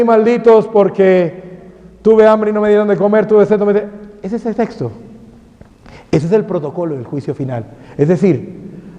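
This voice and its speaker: High-voiced priest preaching in a hall. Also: male voice. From Colombia. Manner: animated preaching with rhetorical questions. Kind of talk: sermon. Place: parish hall. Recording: dull sound with long hall reverb.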